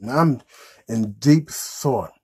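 Husky-voiced man hosting. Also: medium pitch, drawn-out words, darkish tone. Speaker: puppet show host. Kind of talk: casual improvised chat with a puppet. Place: small indoor room with green backdrop.

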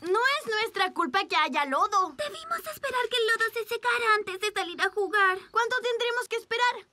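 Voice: very high voice, lively intonation, brisk, noisy background. An actor voicing an eager young cartoon kitten who likes making plans, with a Latin American Spanish accent. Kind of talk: children's cartoon voice acting. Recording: very loud cartoon music behind.

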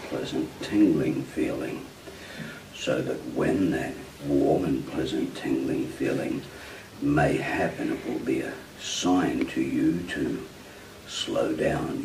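A middle-aged man working as a hypnotist, giving directive suggestions and questions in short, deliberate phrases. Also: a bass voice. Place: meeting room with glass wall, indoors. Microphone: distant camera mic. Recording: echoey room, distant mic, clear background noise.

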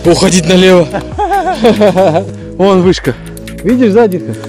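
Man in his 40s, slightly high voice, chatty trail vlog, handheld camera outdoors, clear music bed underneath. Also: big pitch swings, slow pace. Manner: chatty, breathless while trudging through snow. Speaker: vlogger. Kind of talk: casual hiking vlog narration. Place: snowy pine forest outdoors in deep frost. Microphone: action camera held at arm's length.

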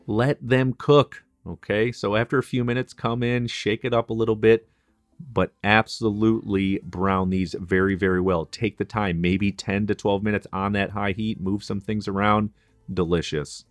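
Chef narrating a recipe, low male voice, dry and close, clear practical instruction. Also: fairly even intonation, normal speed, dry recording, faint music behind. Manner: instructive, friendly, practical kitchen tips. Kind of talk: cooking tutorial voice-over.

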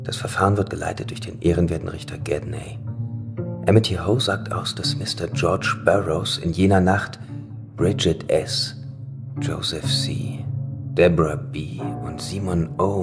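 Deep, gravelly, solemn record reader. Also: male voice, steady tone, measured pace, whispered, over music. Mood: ominous. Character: solemn male reader of old witch-trial records. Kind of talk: formal dramatic reading in audio drama.

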